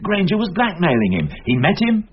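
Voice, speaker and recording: A man's voice: high-pitched, huge pitch swings, a little fast. An actor playing an insistent senior British detective who thinks aloud and argues his theories emphatically in a radio drama. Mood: animated, certain. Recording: dull, hissy radio drama recording with clicks.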